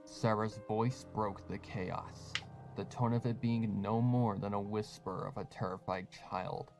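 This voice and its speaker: Male horror narrator, lowish voice, deliberate ominous storytelling, prominent music bed. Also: fairly even intonation, a little mellow. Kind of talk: dramatic scripted horror narration.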